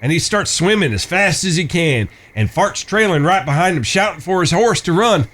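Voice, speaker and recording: A man's voice: sits high, expressive, moderate pace, fairly bright tone. A man playing a cocky, chivalrous Old West gunslinger with folksy, courtly phrasing in a western audio drama. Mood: amused, storytelling.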